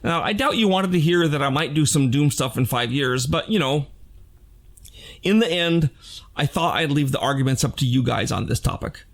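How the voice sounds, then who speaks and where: Male, 60s, vlog host. Lively, swooping intonation, slightly high voice, frank and chatty. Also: normal speed, vocal fry. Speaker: game designer and video channel host. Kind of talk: opinion vlog addressed to fans.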